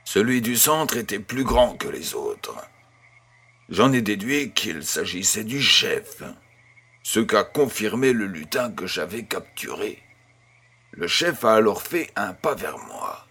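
Man acting a gentle grandfather, low husky voice, slow measured delivery, audio storybook narration. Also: big pitch swings, full character voice. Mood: storytelling, wondering. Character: kindly old grandfather telling a bedtime tale.

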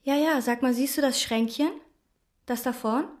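A woman playing a brooding, obsessive chambermaid in a radio play, narrating and talking in a quiet, probing, musing way. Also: high-pitched, moderate pace, roomy sound, lightly acted.

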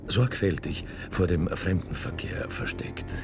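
A man's voice: low-pitched, a little slow, gravelly, over loud music. A man narrating a radio play in a theatrical, mock-solemn and ceremonious way.

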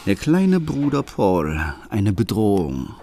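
A man's voice: on the low side, wildly swooping pitch, slow, gravelly, mellow, slight background noise, exaggerated voice. An actor playing a bitter, secretive middle-aged father who narrates a radio drama in terse, brooding fragments. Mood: bitter, incredulous. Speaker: first-person narrator and lead actor. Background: music.

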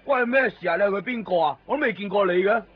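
A man's voice: sits high, fast talker, slightly rough. A man playing a young shop worker in a radio drama, flustered, defensive and protesting. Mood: alarmed, protesting. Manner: protesting, chatty. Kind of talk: Cantonese radio drama acting. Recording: dull lo-fi radio recording, loud music.

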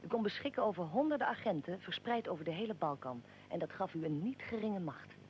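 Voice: mid-range voice, animated, quick pace, darkish tone, a little hiss or hum, lightly acted, rough recording. A performer playing a probing, well-prepared interviewer and narrator in a historical radio drama, formal and articulate. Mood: formal, narrating.

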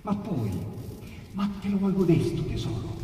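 A man reciting dialect sonnets with theatrical swings between loud outbursts and hushed asides. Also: high-pitched, animated, normal speed. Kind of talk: dramatic poetry recitation on radio. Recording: echoey hall, distant mic, faint hiss.

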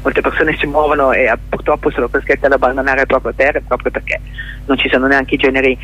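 A middle-aged woman with a husky voice, explaining her own work as a guest in a conversational radio interview over the telephone. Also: extremely low pitch, level tone, fast, fairly bright tone. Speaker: interview guest, journalist and stage performer. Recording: dull telephone line to a radio studio.

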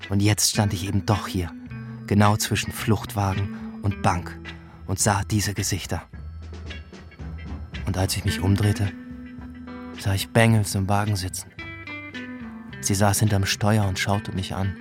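A low male voice , an actor's, plays a wistful cop in love with outlaw women. He narrates a radio drama reflectively, in noir fashion, with clear music behind him. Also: level tone, unhurried, pauses often, natural voice. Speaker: narrator and character. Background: music, plucked guitar.

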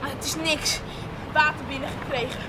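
A high-pitched, determined flood victim played by a woman, practical and urgent in a radio drama. The voice fights through very loud water noise. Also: fairly even intonation, normal speed, natural voice. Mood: grimly practical. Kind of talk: Dutch radio play acting.

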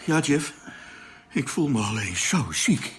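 An actor playing a chatty, excitable crewman aboard a spaceship in a radio play, colloquial and quick to exclaim. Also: medium pitch, breathy edge, full character voice. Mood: queasy. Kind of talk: Dutch radio play acting.

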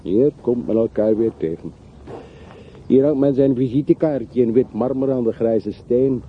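A man's voice: medium pitch, measured pace, lightly acted. An actor playing a bitter, sardonic man revisiting the town of his wealthy childhood in a radio play. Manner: sardonic, brooding, rhetorical. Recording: muffled, noisy old radio play recording.

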